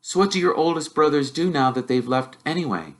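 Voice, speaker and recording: A man voicing a modest, curious young wizard boy in a quiet, tentative way. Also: a little high, a little fast, voice pushed up, natural voice.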